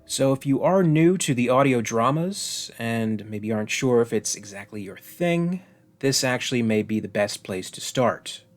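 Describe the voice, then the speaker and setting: A man hosts a fan review channel, reading a scripted video essay. His voice sits at an ordinary middle pitch, and he presents in the manner of a prepared narration. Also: lively intonation, moderate pace.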